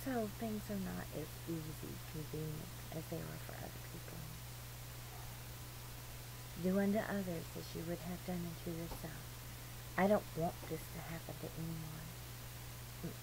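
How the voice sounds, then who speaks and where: A woman's voice: low-pitched, steady tone, moderate pace, long pauses. A woman giving an informal, rambling religious monologue in an earnest, emphatic, digressive way. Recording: noisy amateur recording with clear hum.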